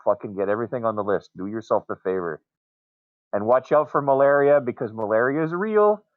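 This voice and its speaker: A man's voice: medium pitch, expressive, fairly quick, no echo. A man in a casual voice chat, talking bluntly and swearing constantly while rambling on with warnings. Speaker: group chat member. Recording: muffled lo-fi voice chat mic.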